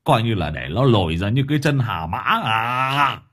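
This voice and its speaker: A bad-tempered, bossy old village husband, put on by a male storyteller. He snaps and barks in a gruff, husky voice, and his tone jumps up and down as he scolds. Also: medium pitch, very animated, moderate pace, a bit bright. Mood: angry. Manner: gruff, snapping, cursing.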